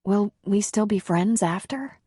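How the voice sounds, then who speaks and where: A woman voicing a nervous, smitten, soft-spoken woman with a breathy, hesitant manner, in a romance audiobook. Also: medium pitch, unhurried, no echo, studio quality, pitched above the performer's own voice.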